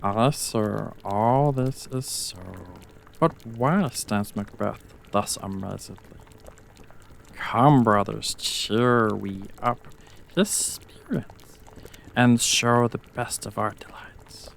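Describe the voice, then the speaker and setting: An eerie witch, acted by a woman in a Shakespeare drama, chanting spells very slowly and mockingly. The voice crackles with gravelly fry, over a faintly noisy recording with bubbling liquid behind it. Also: rumbling low, heavily acted. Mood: mocking, coaxing. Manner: slow, incantatory, drawn-out delivery.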